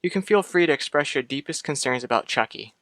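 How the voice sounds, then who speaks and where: An actor playing a courteous, reassuring doctor in an old radio soap, with precise, matter-of-fact delivery. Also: male voice, on the high side, lively intonation, quick pace, fairly bright tone, no echo. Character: reassuring, courteous doctor, trusted family friend. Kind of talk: mid-century radio soap acting.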